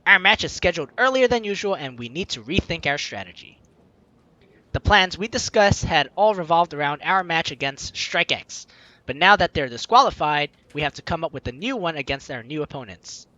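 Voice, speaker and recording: Animated, high-pitched man doing a let's play. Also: moderate pace, dry recording, muffled recording. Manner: animated, chatty, reacts playfully aside to the game. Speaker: gaming video host, let's player. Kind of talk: let's play: reading game narration aloud with casual commentary. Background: game music.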